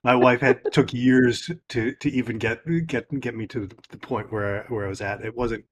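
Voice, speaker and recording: A man's voice: mid-range voice, little pitch movement, normal speed, slight background noise. A man from rural Wisconsin, co-hosting an interview in a self-deprecating, stumbling way with repeated words. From rural Wisconsin, US Midwest. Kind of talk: casual interview chat.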